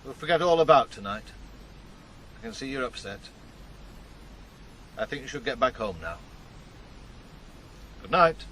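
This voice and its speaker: An actor playing a prim, middle-aged householder in a radio drama, speaking formally and with stiff disapproval. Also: male voice, medium pitch, animated, measured pace, long pauses, bright, forceful tone, slight background noise, poor audio. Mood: stern, conciliatory. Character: stiff, indignant male householder and employer.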